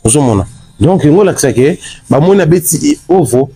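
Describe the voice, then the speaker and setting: A man's voice: fairly high pitch, animated, normal speed, creaky, warm tone, slightly rough, soft background music. A man from Congo giving a street interview, talking emphatically and slipping Lingala words into his French.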